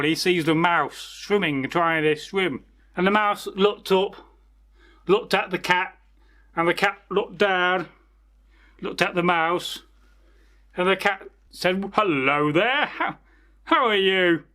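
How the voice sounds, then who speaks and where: A high-voiced man hosts as a storyteller, spinning a folktale informally. He rambles chattily and often turns aside to address the listeners directly. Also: slow pace, pauses often, sharp tone. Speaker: storyteller, channel host.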